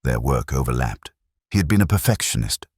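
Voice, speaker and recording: A man playing a brooding, literary police inspector who narrates his case in a measured, reflective, melancholic way, as in a scripted audio drama. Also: very deep voice, big pitch swings, darkish tone, husky, no echo, studio quality, heavily acted. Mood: reflective, sombre.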